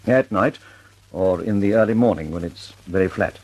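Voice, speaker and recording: A fretful, husky older inventor. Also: male voice, deep voice, moderate pace, a little mellow, rough recording. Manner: fussy, anxious. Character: older male bomb inventor, fretful, fussy. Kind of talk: 1940s radio acting.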